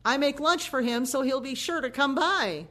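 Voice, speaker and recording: A woman playing a devout, defensive elderly mother in an audio play, gentle and pleading. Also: high voice, moderate pace. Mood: gently defensive.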